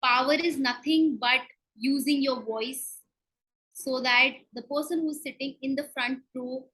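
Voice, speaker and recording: Female coach, high bright voice, emphatic instructional delivery with deliberate pauses. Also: fairly even intonation, poor audio. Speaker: public speaking coach giving a talk. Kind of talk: instructional presentation to an online group.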